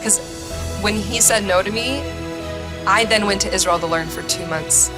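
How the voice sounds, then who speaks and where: A woman's voice: mid-range voice, moderate pace, music in the background. A young American woman speaking as a podcast guest, telling her personal story in conversation. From United States, grew up moving between countries. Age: young adult.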